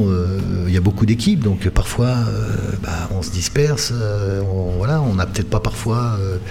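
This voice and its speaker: Mellow, low-voiced middle-aged Frenchman. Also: slight background noise. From Paris region, France. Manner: hesitant, searching for words. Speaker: handball coach being interviewed. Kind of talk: conversational radio interview answers. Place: radio studio.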